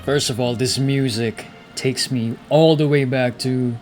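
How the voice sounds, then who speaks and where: A man's voice: medium pitch, steady tone, unhurried, soft background music. A man giving casual gameplay commentary and reading story text aloud as he plays. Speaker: gaming streamer.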